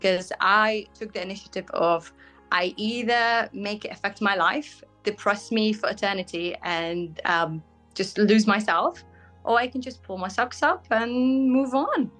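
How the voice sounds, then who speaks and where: Young woman, British accent, Syrian-born, Arabic first language; frank, halting interview guest, faint music under. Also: medium pitch, fairly bright tone. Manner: frank, fills pauses with "um", searches for words. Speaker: guest, bridal fashion designer. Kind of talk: casual interview answers.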